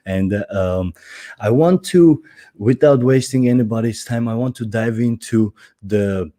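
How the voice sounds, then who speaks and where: A man's voice: medium pitch, a little slow, warm tone. A man in his thirties from Armenia, hosting a casual interview, talking with lots of hesitations, fillers and restarts. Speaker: podcast host.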